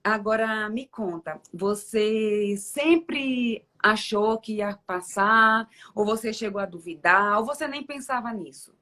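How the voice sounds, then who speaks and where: A woman's voice: mid-range voice, slow, hardly any pauses, sharp tone. Brazilian woman, judge hosting an interview; encouraging, reflective delivery. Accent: Brazilian Portuguese. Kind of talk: conversational interview.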